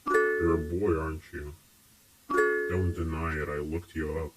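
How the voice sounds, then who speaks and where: A man acts out a menacing online harasser for a comic dub. The voice is extremely deep and barely varies in pitch, taunting with open contempt. Loud music plays underneath. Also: low-quality recording. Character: menacing male online harasser exposing and insulting a teen. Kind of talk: dramatic voice acting in a comic dub.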